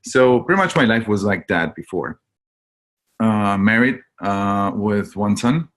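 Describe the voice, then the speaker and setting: A man's voice: fairly low pitch, lively intonation, unhurried, fairly bright tone. A man from Argentina, a webinar guest telling his own story in a candid, rambling way with many fillers.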